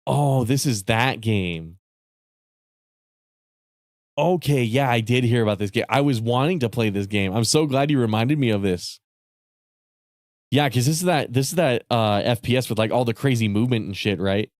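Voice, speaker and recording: A man chatting casually with viewers on a live stream, rambling and swearing with lots of fillers, his voice starting to go raspy. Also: mid-range voice, normal speed, long pauses, no echo, studio quality. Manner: rambling, profane, many fillers and restarts. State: voice getting raspy.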